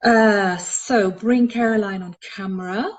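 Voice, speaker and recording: A woman's voice: mid-range voice, fairly even intonation, a little slow. A woman with an Austrian accent hosting a live online show, chatting casually with many fillers.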